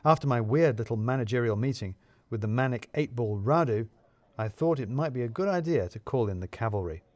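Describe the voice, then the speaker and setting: Guy narrating a funny story, wry and theatrical, really lively pitch, dry studio sound. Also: a little fast, no room sound. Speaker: narrator of a comic murder mystery story. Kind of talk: expressive first-person scripted storytelling.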